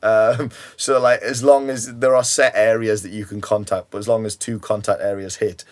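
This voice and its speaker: A man's voice: fairly low pitch, level tone, normal speed, a little mellow. A young man from Newcastle with a Geordie accent, chatting casually as an interview guest and filling his talk with ums and "you know"s. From Newcastle, England. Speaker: guest, ambulance worker.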